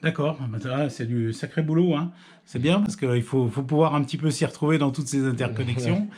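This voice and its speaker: A man's voice: mid-range voice, brisk, darkish tone, breathy edge. A man from France hosting an informal amateur radio tutorial, explaining technical things hesitantly and often repeating himself.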